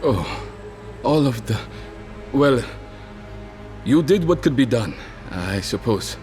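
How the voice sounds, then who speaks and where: An actor playing a wary but polite village man in a motion comic, speaking haltingly with awkward gratitude. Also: mid-range voice, lively intonation, a little mellow, faint music behind. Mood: wary, grateful. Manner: halting, uneasy, courteous. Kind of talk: character acting in a motion comic.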